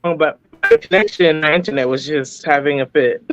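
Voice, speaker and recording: A woman's voice: deep voice, wildly swooping pitch, normal speed, faint music behind. A woman guest casually telling her personal story over a lo-fi internet call. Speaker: guest, parent of a child with sickle cell. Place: remote video call. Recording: dull, lo-fi internet call audio.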